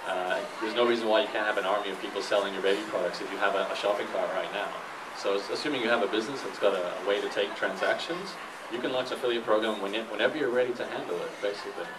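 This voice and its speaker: A man with a fairly low voice gives an informal talk, explaining things plainly as a guest speaker. He is picked up from some distance, with clear background noise. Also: racing. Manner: explanatory, repeats questions before answering. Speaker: guest speaker, internet entrepreneur and blogger. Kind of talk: informal talk answering audience questions. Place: live talk in a room with an audience. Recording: noisy live room, distant microphone.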